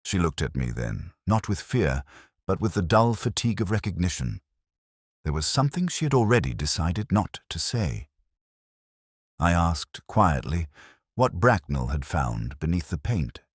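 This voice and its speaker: Very deep-voiced man narrating a mystery. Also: very animated, a little slow, dry recording, studio quality. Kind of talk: scripted first-person mystery narration.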